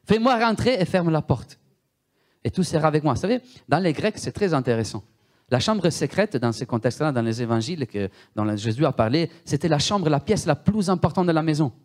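Italian-accented preacher with a raised voice. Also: male voice, on the high side, lively intonation, a little fast. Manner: emphatic, repeats words, asks the listeners. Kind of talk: sermon.

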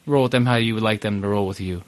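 A man's voice: fairly low pitch, fast, dry recording. Nasal, congested man hosting a podcast. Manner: relaxed, laughs often, filler-heavy. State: congested from allergies. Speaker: podcast host. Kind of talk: casual podcast chat.

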